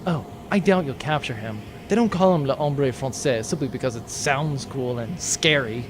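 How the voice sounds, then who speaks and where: A man's voice: mid-range voice, moderate pace, a little hiss or hum, natural voice. A man playing a flippant, wisecracking captive crewman in a comic sci-fi audio drama, talking casually. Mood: cocky. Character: cheeky, flippant young male captive crewman.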